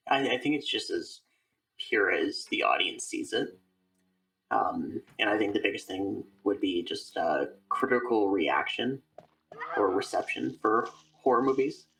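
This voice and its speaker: A man's voice: lowish voice, animated, unhurried, vocal fry, fairly bright tone, recorded from a distance, poor audio. A man chatting casually as an interview guest, with hesitant, thoughtful phrasing. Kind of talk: casual chat.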